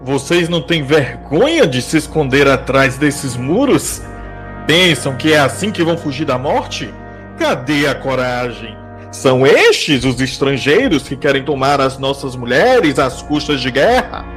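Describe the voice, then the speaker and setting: A man's voice: mid-range voice, very animated, measured pace, a bit bright, soft background music. Man acting an arrogant, taunting Brazilian warrior king. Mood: scornful, challenging. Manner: sneering, declamatory challenge. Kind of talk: dramatic audio drama acting.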